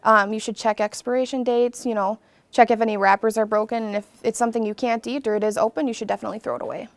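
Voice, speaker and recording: Fast-talking woman police officer. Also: on the high side, steady tone, no room sound. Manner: casual, many fillers. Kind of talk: interview answers.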